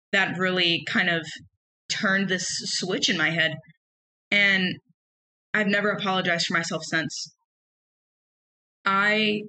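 Loud, very bright young woman. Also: on the low side, gravelly, a little hiss or hum, poor audio. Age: young adult. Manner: loud and emphatic, animated. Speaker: podcast host. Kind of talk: casual personal monologue.

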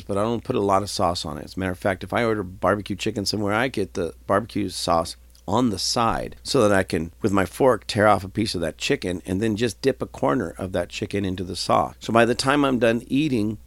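Stuffy-nosed guy rambling on his podcast, lowish voice, keeps clearing his throat, dry close sound. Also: brisk, no room sound. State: congested, nasal. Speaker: podcast host. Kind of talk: casual solo podcast talk.

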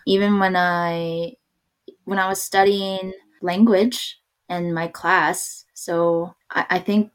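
Slow, hesitant woman in casual chat. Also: lowish voice, level tone. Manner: halting, restarts often, laughs while talking. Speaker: podcast guest. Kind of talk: casual conversation.

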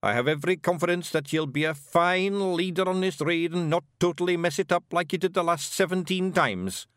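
A man voicing a boastful little male fairy clan leader with a broad Scottish accent, blustering and quick to snap. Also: high voice, fairly bright tone, smooth, dry recording, clean studio sound, voice pushed up. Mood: commanding.

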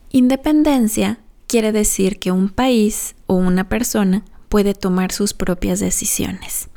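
A woman hosting a children's podcast, telling a history story in a gentle, simple storytelling way. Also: mid-range voice, creaky.

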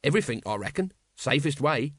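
A man's voice: mid-range voice, lively intonation, fairly quick, no room sound, clean studio sound, lightly acted. Guy doing cheeky scruffy guard, audiobook, slangy n offhand. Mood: cocky. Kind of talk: audiobook character acting.

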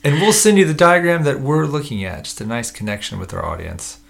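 A man co-hosting a podcast, chatting casually in a relaxed, self-deprecating way. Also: medium pitch, a little fast, creaky. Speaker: podcast co-host. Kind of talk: casual chat.

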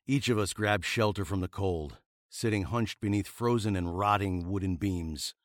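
An actor playing a haunted, weary frontier hero turned fugitive, narrating in wry, wordy literary phrases for radio drama. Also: male voice, low voice, moderate pace, no room sound, studio quality, natural voice. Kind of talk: scripted first-person radio drama narration.

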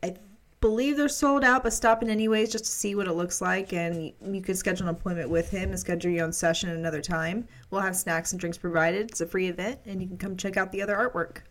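A woman's voice: mid-range voice, quick pace. A woman hosting a podcast, reading out announcements from notes. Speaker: podcast host.

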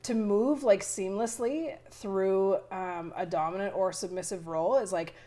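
A woman's voice: medium pitch, dark tone. A woman who is a podcast guest, talking frankly and casually with a lot of swearing. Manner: frank, heavy swearing, quick laughs. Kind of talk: candid casual chat.

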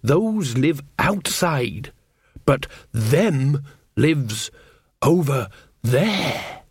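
A man voicing an evasive, exasperated old country mother, rambling and halting in an audiobook. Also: mid-range voice, sing-song, slightly rough, no room sound, clean studio sound, exaggerated voice. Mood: reluctant, exasperated. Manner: vague, halting, rustic grammar. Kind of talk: audiobook character acting.

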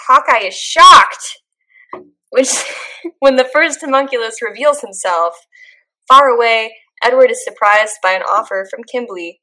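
A young woman co-hosting a podcast, chatting casually and gushing with animated energy. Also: fairly high pitch, rough recording. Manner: animated, gushing, quick laughs. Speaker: podcast co-host. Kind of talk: casual chat.

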